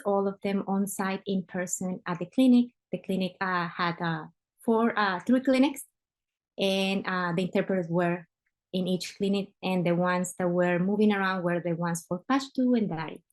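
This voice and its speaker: A woman's voice: medium pitch, fairly even intonation, normal speed. Guatemalan woman presenting on a webinar. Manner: frequent "uh" fillers, conversational. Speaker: guest speaker, medical interpreter. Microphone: computer mic on a video call.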